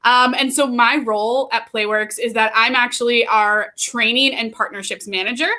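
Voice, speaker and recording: A woman's voice: a little high, fairly even intonation, normal speed, pushed and bright. An upbeat, enthusiastic American woman in her thirties explaining her work as an interview guest. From Pennsylvania, United States. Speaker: guest, youth play nonprofit training manager.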